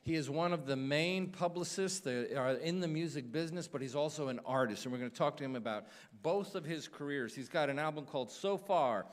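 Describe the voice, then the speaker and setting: A man's voice: on the high side, a little fast, few pauses. A man hosting a live radio show, introducing and interviewing a guest. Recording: live stage with faint audience.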